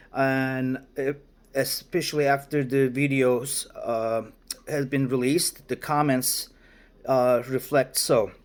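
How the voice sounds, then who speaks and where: A man in his forties from Turkey, speaking English with a Turkish accent in a formal, deliberate way, pausing to search for words in a response vlog. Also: mid-range voice, a little mellow. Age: 40s. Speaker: vlogger. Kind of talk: direct-to-camera response monologue.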